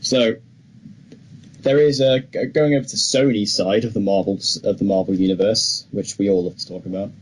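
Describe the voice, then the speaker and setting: A man's voice: lowish voice, steady tone, normal speed, rough recording. A man co-hosting a podcast in a casual chat style, talking through entertainment news. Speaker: podcast co-host. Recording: clear background noise.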